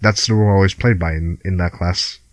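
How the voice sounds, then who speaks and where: A young man with a Filipino accent and a low, deep voice. He co-hosts a podcast from home over a call, easygoing and laughing between lines. The sound is close and completely dry. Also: steady tone, fast, no room sound. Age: young adult. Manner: laid-back, laughs often, swears casually. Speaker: podcast co-host. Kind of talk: casual chat.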